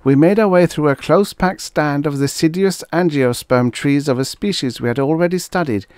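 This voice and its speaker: A man voicing a frightened young military officer in an audiobook, halting and stiffly formal as he reports. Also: on the high side, a little mellow, clean tone, no echo, clean studio sound, voice pushed up, lightly acted. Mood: tense, formal.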